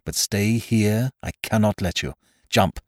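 A man reading aloud and voicing a stern but kindly older male doctor, speaking gravely and sadly. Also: on the low side, no room sound, studio quality, lightly acted. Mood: urgent. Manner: grave, sad, measured.

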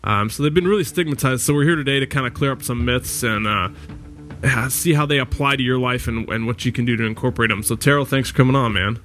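A man's voice: medium pitch, fast talker, a bit bright, soft background music. A man hosting a podcast interview in a casual, easygoing way, with plenty of fillers. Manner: easygoing, loose, many fillers. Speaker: podcast host. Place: lives in the US Pacific Northwest.

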